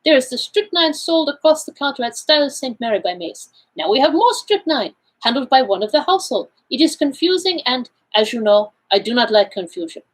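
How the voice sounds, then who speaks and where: A courteous Belgian detective from a storybook mystery, played by a woman. He explains things deliberately and formally, with great self-assurance. The voice sits high and has a husky edge. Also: sing-song. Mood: puzzled, thoughtful. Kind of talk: audiobook character dialogue.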